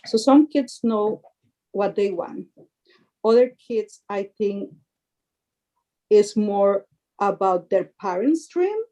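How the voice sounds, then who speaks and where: A woman with a soft, mellow tone talks earnestly as a parent on a panel. She often restarts and repeats words as she looks for the right phrasing. Her pitch sits in an ordinary middle range. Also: expressive, slow pace, long pauses, rough recording. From Costa Rica. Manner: earnest, repeats words while searching for them. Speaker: parent of young actors. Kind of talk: panel discussion.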